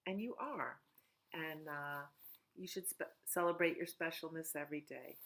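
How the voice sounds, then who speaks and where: Low-voiced woman reading to children. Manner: gentle, sing-song, talks slowly to children. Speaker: children's librarian. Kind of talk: storytime reading and chat for children. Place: at home.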